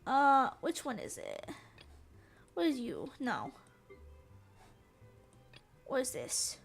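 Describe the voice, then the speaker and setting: A woman's voice: high voice, huge pitch swings, takes it slowly, creaky, soft background music. A young woman from the American South with a Southern accent, chatting playfully and giggling with her viewers while she plays a game. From Southern United States. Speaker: streamer. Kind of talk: casual chat while gaming.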